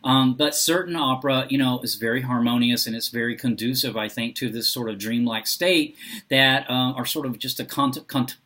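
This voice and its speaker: A man talking casually and unscripted as a vlogger, halting often as he searches for his words. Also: medium pitch, sharp tone. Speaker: book and reading vlogger. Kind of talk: casual unscripted vlog talk.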